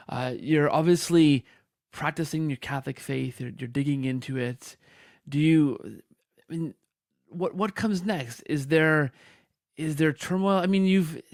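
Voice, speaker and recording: Guy hosting an interview, bit of vocal fry, dry sound, rambly questions with lots of repeats. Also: a little high, no echo. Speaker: podcast host interviewer. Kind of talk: conversational interview.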